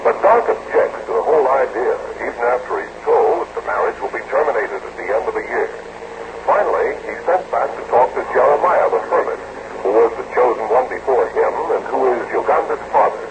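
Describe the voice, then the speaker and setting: An actor playing the solemn narrator of an old-time radio adventure serial, with dramatic, declamatory delivery. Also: male voice, mid-range voice, expressive, a little fast, lightly acted. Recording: very noisy old radio recording.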